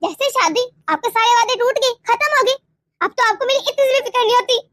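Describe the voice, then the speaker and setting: औरत की आवाज़ में बहुत ऊँची आवाज़ वाली रूठी पत्नी. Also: female voice, extremely high pitch, fairly quick. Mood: angry, hurt. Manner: dramatic, repeats words when upset. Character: young wife, jealous, wounded, sulky and controlling. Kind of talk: melodramatic domestic story acting.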